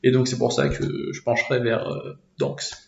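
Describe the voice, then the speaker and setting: A young French man from Normandy, answering interview questions casually with many hesitant pauses. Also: mid-range voice, level tone, gravelly, reverberant, dull audio, a little hiss or hum. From France, Normandy.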